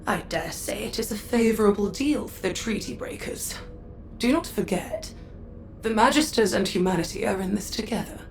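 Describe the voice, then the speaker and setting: Haughty, condescending sci-fi magistrate. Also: female voice, medium pitch, lively intonation, moderate pace, gravelly, slight background noise. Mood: smug. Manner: haughty, formal, silkily condescending.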